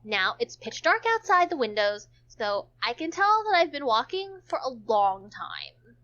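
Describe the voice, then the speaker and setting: A woman's voice: fairly high pitch, sing-song, unhurried, a bit bright, highs cut off. A woman reading visual novel text aloud for a stream, stumbling now and then and dropping in casual joking asides.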